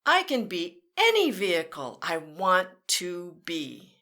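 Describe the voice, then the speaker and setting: A woman's voice: on the low side, huge pitch swings, takes it slowly, pushed and bright. A woman reading a picture book aloud to children, in a sing-song way, with playful vehicle sound effects. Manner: talks directly to children. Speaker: children's storyteller. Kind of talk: read-aloud storytime.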